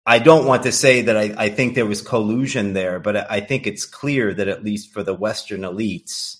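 A man's voice: fairly low pitch, normal speed. A man from the United States, a writer and editor, speaking as a guest in a rambling way with stammered restarts and many fillers. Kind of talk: casual interview chat.

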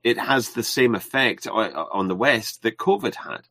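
A man hosting a livestream interview, talking in a halting way with many fillers and restarts. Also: lowish voice, expressive, bright, forceful tone. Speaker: livestream host. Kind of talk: casual interview chat.

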